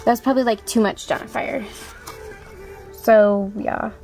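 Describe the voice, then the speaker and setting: Woman, high-pitched, soft mellow tone, casual and self-deprecating, music behind her. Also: fairly even intonation, measured pace, vocal fry, poor audio. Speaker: amateur voice auditioner. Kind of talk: casual chat to online viewers.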